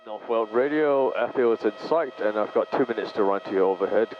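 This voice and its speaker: A man, a private pilot, making courteous, measured procedural radio calls on a headset in a small aircraft. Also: on the low side. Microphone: aviation headset. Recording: muffled cockpit audio with engine noise.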